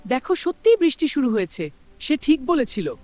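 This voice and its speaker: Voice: high voice, sing-song, moderate pace, darkish tone. A performer voicing a curious child friend in a scripted cartoon adventure, with short wondering lines. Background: music.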